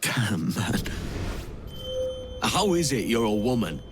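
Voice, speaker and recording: An actor playing a roguish, cocky young pirate in a video game, wry and cheeky in manner. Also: male voice, lowish voice, very animated, slow, noisy background. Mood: bemused. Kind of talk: cinematic video game acting.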